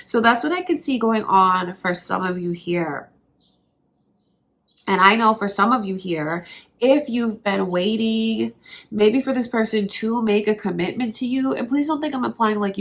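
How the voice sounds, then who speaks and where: A woman's voice: medium pitch, moderate pace, vocal fry. A woman with a blocked, nasal voice from allergies, giving a tarot reading straight to her viewers in an earnest, reassuring way. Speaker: tarot reader.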